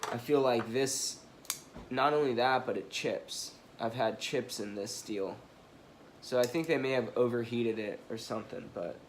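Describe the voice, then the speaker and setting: A man's voice: lowish voice, slight background noise. A man with a stuffed-up nose from allergies or a cold, rambling apologetically through a casual product review with many fillers and restarts. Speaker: knife review vlogger.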